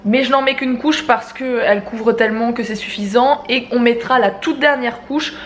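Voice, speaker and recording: A young woman talks to camera at home in a chatty family-vlog manner, her voice stuffy and congested from a head cold. Her pitch sits a little high, and she fills her sentences with plenty of fillers. Faint noise sits behind her. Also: fairly even intonation, normal speed. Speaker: family vlogger. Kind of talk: casual vlog talk to camera. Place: at home, indoors.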